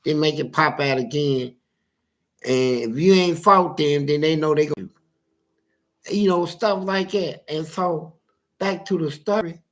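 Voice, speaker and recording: A man with a gravelly voice telling a story casually, in animated, profane street talk and acting out the dialogue. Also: fairly high pitch, measured pace. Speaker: interviewee telling his life story.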